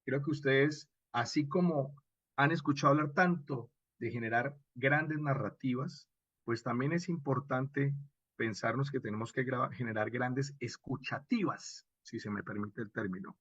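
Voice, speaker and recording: Husky Colombian man teaching, dry sound. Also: mid-range voice, unhurried, no room sound, muffled recording. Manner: didactic and expressive, checks in with short rhetorical questions. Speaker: radio drama instructor. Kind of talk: animated workshop lecture with acted examples.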